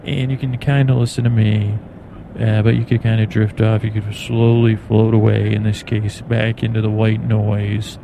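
An American man hosting a bedtime podcast, rambling in a halting, meandering, soothing way. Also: fairly low pitch. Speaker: podcast host. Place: aboard an airplane in flight. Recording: clear engine and cabin noise. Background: steady plane noise.

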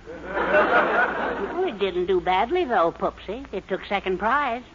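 An American actor playing a meek, doting, henpecked middle-aged husband in a 1940s radio sitcom. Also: male voice, high-pitched, animated, slow, a loud crowd. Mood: sly teasing. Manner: mild, placating, hesitant. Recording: muffled, very noisy old radio recording.

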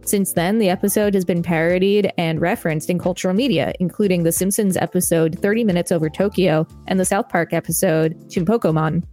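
A woman's voice: on the low side, steady tone, fairly quick, hardly any pauses, dark tone, soft background music. Young woman co-hosting a reading podcast. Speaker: podcast co-host. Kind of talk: reading aloud with casual commentary.

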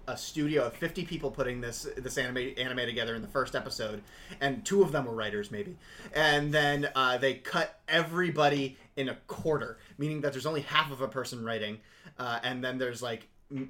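A young man co-hosting a casual podcast, rambling through an animated critique with lots of fillers. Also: mid-range voice, a little fast. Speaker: podcast co-host. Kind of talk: casual anime review chat.

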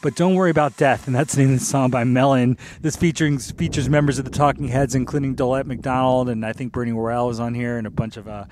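A man's voice: medium pitch, steady tone, quick pace, hardly any pauses, a little mellow, a little hiss or hum. A man in his fifties hosting a music radio show, talking casually and loosely as he thinks aloud between tracks. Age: 50s. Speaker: radio DJ host.

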